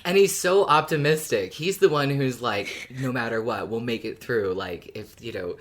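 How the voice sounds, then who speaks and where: A woman's voice: rumbling low, moderate pace. A woman co-hosting a podcast, talking informally and enthusiastically as a fan about a story. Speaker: podcast co-host. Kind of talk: casual fan discussion of an audio drama.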